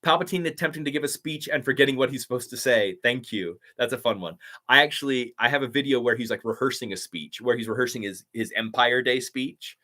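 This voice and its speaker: A man's voice: mid-range voice, bright, forceful tone. A man who is a voice actor, chatting upbeat on a live stream and reading out viewers' comments. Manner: upbeat, chatty, quick to laugh. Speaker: YouTuber and voice actor.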